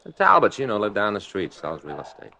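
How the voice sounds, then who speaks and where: A man's voice: lowish voice, huge pitch swings, a little fast, gravelly, bright, forceful tone, full character voice. An American man playing a grumbling, petty police detective in a 1950s radio drama, peevish, defensive and fussy. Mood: aggrieved. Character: grumbling, petty, sore-loser male police detective partner. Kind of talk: 1950s radio acting, naturalistic banter.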